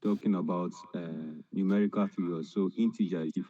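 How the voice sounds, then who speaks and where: A man answering in an online class, halting and hesitant with fillers. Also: low-pitched, fairly even intonation, dark tone, highs cut off. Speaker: student.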